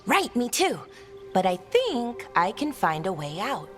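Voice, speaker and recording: An actress playing a young, naive elf researcher in a video game, excitable and halting in manner. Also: female voice, medium pitch, music in the background. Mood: earnest. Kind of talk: video game character acting.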